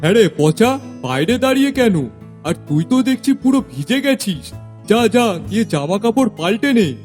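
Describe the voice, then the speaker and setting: Guy doing bossy village master in a cartoon, high pitched for a man, brisk and naggy, little music underneath. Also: very animated, darkish tone. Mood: scolding. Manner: scolding, commanding, brisk. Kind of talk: animated family drama acting.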